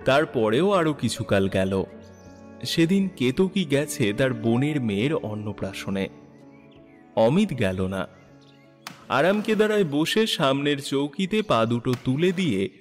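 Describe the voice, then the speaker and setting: A man narrating a literary story from a script, in a storytelling style. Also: mid-range voice. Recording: long reverb over background music. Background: background music.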